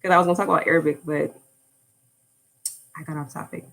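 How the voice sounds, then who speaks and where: A woman's voice: low-pitched, fairly even intonation, fast, creaky, a bit bright. An American woman chatting casually on a livestream, rambling and drifting off topic with lots of fillers. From United States. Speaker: language-learning YouTuber.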